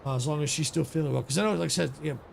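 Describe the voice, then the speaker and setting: A man streamer wraps up his session in loose, rambling chat, backing up and restarting his sentences. His voice sits a bit high and sounds heavily gravelly and raspy from hours of talking. A faint hiss runs under the desk-mic sound. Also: very fast, darkish tone. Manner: rambling, many restarts and fillers. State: voice getting raspy. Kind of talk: casual stream sign-off chat.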